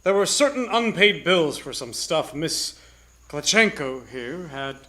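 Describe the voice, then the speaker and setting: Casting: male actor as cagey moneyed gentleman for radio drama, slightly high pitch, animated swings, guarded clipped manner. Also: measured pace. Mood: guarded, bargaining. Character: wealthy married businessman, guarded, wary of scandal. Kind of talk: live 1940s-style radio drama acting.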